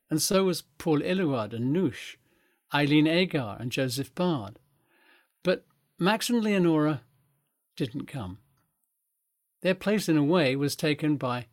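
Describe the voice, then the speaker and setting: An older man giving a storytelling talk on art history, with measured, emphatic phrasing and pauses. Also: a little high, dry recording, studio quality. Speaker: guest speaker.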